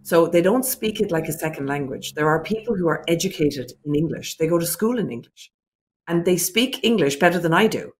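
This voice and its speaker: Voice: medium pitch, lively intonation, a little fast. Earnest guest laying out numbered points. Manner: earnest, reflective, lays out points in order. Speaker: business owner guest. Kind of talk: interview answers.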